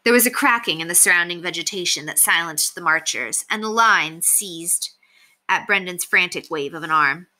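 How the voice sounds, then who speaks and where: Brief: female story reader, slightly low pitch, very bright crisp tone, expressive children's book narration. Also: moderate pace. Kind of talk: expressive read-aloud narration of a children's novel.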